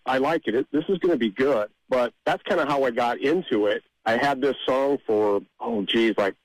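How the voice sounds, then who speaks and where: A man's voice: mid-range voice, no room sound, low-quality recording. A middle-aged or older man, an interview guest, talking casually in a rambling, anecdotal way. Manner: informal, rambling storytelling. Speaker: interview guest, amateur songwriter.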